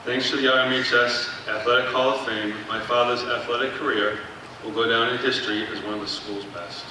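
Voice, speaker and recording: A man's voice: medium pitch, steady tone, brisk. A man, the son of an inductee, earnestly reading a prepared thank-you, heard from far off in an echoey, noisy hall.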